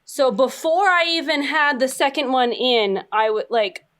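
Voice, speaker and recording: Female comedy panelist, slightly high voice, chatty and playfully dramatic when posing questions. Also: animated, a little slow, a bit bright, a little hiss or hum. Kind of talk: comic anecdote, casual chat.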